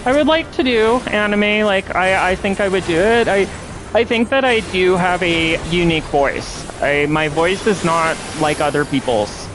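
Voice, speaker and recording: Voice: medium pitch, normal speed, noisy background. A game streamer with a nasal voice, chatting casually while playing. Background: music.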